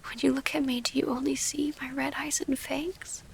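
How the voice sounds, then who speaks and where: Woman acting a soft-whispering, refined female vampire. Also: sits high, flat delivery, normal speed, a little hiss or hum. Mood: hurt, confronting. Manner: soft, breathy half-whisper, formal old-fashioned diction. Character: gentle, formal female vampire noble, wounded but devoted lover. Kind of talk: intimate ASMR roleplay audio drama.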